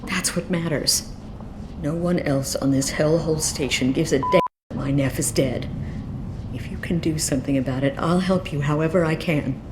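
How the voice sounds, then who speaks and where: Female game role, acted: bereaved working-class mother, desperate, tearful, clear background noise. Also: low voice, normal speed, lightly acted. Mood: bitter, desperate. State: distraught, tearful. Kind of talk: video game voice acting. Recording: clearly noisy game ambience.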